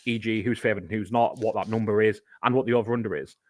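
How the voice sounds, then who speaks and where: A man's voice: on the low side, fairly even intonation, quick pace, no room sound. Man talking sports in a dry room. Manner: conversational, explains at length with examples. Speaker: podcast guest. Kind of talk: casual podcast chat.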